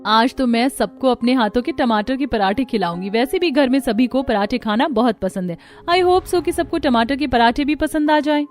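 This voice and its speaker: A woman's voice: high voice, moderate pace, few pauses, close to an everyday voice. A woman playing a cheerful, eager young daughter-in-law in a family cartoon. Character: eager, sweet young daughter-in-law who loves cooking.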